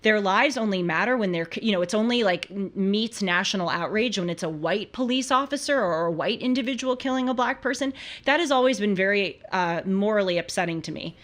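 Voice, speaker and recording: Female commentary host, American, earnest and emphatic, conversational delivery with rhetorical questions and a medium-pitched voice. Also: fairly quick, hardly any pauses, fairly bright tone. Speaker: host of a political commentary show.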